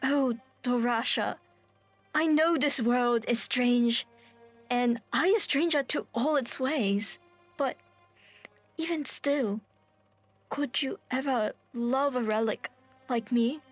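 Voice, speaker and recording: Woman as a high-voiced, bewildered cryosleep survivor. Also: takes it slowly, long pauses, faint music behind. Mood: earnest, tender. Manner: incredulous, expressive. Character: bewildered, indignant human revived from cryostasis, earnest. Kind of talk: radio play acting.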